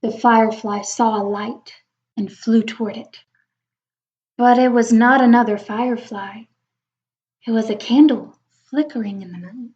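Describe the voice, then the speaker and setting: Lady reading a picture book to little kids, really slow, full of wonder, dull lo-fi audio. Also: a little high. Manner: expressive, wondering, talking to young children. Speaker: read-aloud storyteller. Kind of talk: children's picture book read-aloud with chatty asides. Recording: dull lo-fi recording.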